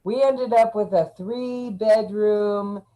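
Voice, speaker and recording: Slow, halting woman guest. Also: medium pitch, mellow, poor audio. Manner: slow, halting, frequent fillers. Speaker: interview guest. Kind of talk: casual online chat.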